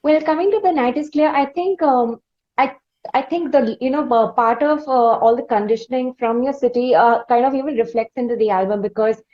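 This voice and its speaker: A woman's voice: fairly high pitch, a little fast. A woman with an Indian accent asks questions as a podcast interviewer, phrasing them in a hesitant, roundabout way. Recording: slightly dull, lo-fi audio.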